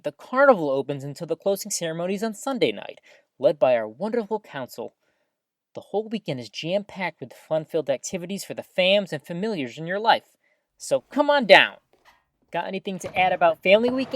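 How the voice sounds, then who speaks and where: Woman acting as earnest community radio host, lowish female voice, lively melody, folksy, cheery, dry studio sound. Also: brisk, dry recording. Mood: cheery, promotional. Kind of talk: scripted community radio announcing with banter.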